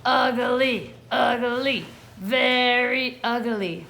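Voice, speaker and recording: A woman voicing mocking schoolchildren from a storybook, loudly taunting with drawn-out words. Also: fairly high pitch, vocal fry, exaggerated voice. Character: jeering, mocking crowd of schoolchildren.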